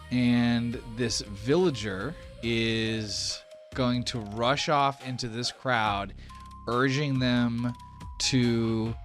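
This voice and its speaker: A man acting as game master, speaking at an unhurried, slow pace. His delivery is casual and a little sweary as he narrates the game, with faint music playing underneath. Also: mid-range voice. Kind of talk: narrating a tabletop roleplaying game.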